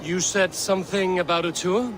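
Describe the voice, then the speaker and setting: An actor playing a kindly, wry Viking warrior in a video game, speaking in a measured way. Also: male voice, sits high, steady tone, a bit bright, slight background noise, low-quality recording. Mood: puzzled. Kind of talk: video game cutscene acting.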